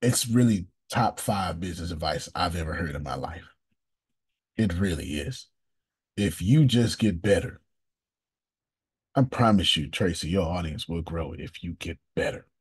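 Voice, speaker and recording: A man hosting a casual coaching call over video, animated and emphatic, with slangy, repetitive phrasing. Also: low voice, unhurried, long pauses, slightly rough. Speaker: business coach and host.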